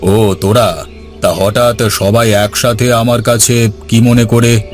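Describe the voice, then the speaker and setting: A man's voice: on the low side, steady tone, slow. A man playing a commanding, folksy village headman in a cartoon, with music behind his voice. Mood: questioning. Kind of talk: cartoon dialogue.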